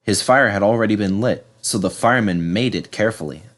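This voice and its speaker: A man narrating a children's storybook in a measured, dramatised storytelling manner. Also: lowish voice, a bit bright. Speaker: storybook reader.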